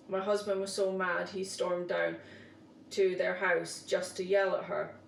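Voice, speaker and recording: Woman reading reddit posts out loud, bit of vocal fry, echoey room and far from the mic. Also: fairly low pitch, slight background noise. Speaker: podcast co-host reading posts. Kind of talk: reading aloud and casual chat.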